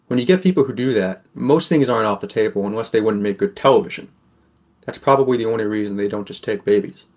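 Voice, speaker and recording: A man's voice: lowish voice, fast talker, darkish tone. A man playing a wary, sardonic sixteen-year-old boy who narrates an audio drama in the first person. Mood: bleak, sardonic.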